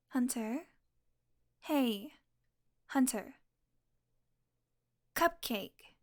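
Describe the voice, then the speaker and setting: A woman acts out a female vampire in an intimate audio roleplay. Her voice sits on the high side and she talks very unhurriedly. She is smug and flirtatious, teasing her prey with mock annoyance and possessive fondness. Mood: gentle, amused.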